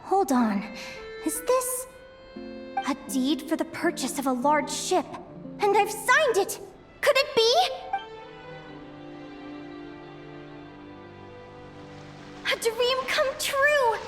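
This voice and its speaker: Very high-pitched, eager young adventuress. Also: female voice, takes it slowly, pauses often, music in the background, heavily acted. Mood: astonished, elated. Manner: expressive, exclaiming, talks to herself. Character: eager dreamy young woman explorer, kind and excitable. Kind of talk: video game voice acting.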